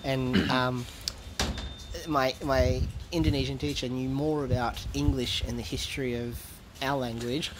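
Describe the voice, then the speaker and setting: Aussie guy chatting on a podcast, lively, trips over his words, bit of hiss behind. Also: medium pitch, a little slow. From Australia. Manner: animated, stumbling restarts, mixes in Indonesian. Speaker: podcast guest. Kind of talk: casual interview chat.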